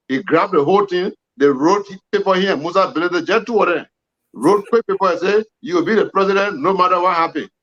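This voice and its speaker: A man's voice: sits high, fairly bright tone. A man in his forties arguing emphatically in a political debate, accusing opponents. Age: 40s. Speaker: panelist. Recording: dull lo-fi audio.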